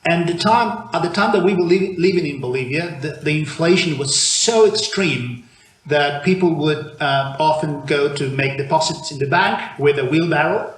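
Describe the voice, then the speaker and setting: A man's voice: a little high, normal speed. A man from Argentina, preaching a conversational sermon in a church, often restarting his sentences. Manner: conversational, with frequent restarts and fillers. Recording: echoey church room with faint background noise.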